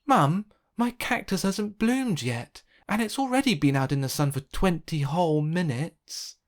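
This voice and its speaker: A man's voice: mid-range voice, big pitch swings, normal speed, natural voice. A man voicing an impatient, whiny young girl in a children's storybook, dramatic and complaining. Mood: exasperated.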